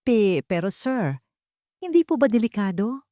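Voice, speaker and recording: A woman's voice: medium pitch, very animated, a little slow, mellow, no room sound, pitched above the performer's own voice. A timid young waitress from a romance story, as a woman narrator voices her. She is polite and hesitant, pleading with her superior. The sound is dry and close. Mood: meek. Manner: deferential, hesitant.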